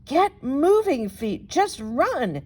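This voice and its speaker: A frightened young bunny girl from a children's storybook, acted out by a woman reader. The voice jumps up high and exclaims urgently. She pleads and frets like a small child in a panic. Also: sing-song, very slow, a little mellow, exaggerated voice. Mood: panicked.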